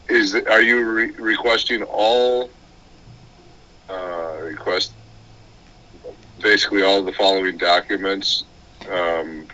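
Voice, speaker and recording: A man working as a probation officer, explaining procedure on a conference call in a halting way and checking that he is understood. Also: sits low, bright, forceful tone. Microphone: recorded phone call. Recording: dull, lo-fi recorded conference call.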